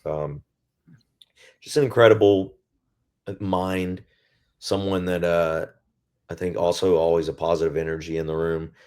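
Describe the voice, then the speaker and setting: A male singer with a naturally very deep voice, talking casually and reflectively in an interview, often pausing to find his words. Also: steady tone, darkish tone, clear, resonant tone. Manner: reflective, searching for words with many pauses. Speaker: band singer as guest.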